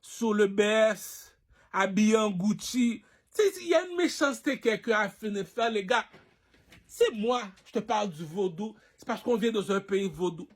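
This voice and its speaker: High-pitched man, boastful and provocative. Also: lively intonation, normal speed. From Haiti. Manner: boastful, provocative, repeats catchphrases. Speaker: online personality addressing followers. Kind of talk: rambling, slangy monologue to camera.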